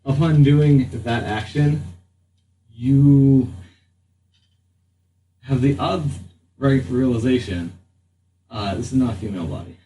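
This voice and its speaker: A man narrating a tabletop game as game master, often pausing mid-sentence as he describes the action. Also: mid-range voice, dark tone. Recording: echoey room, distant mic, noise.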